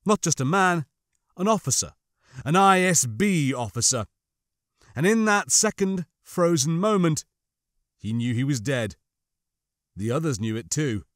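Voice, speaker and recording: A man's voice: on the high side, very animated, measured pace, long pauses, no room sound. Man narrating a dramatic audiobook, dry sound.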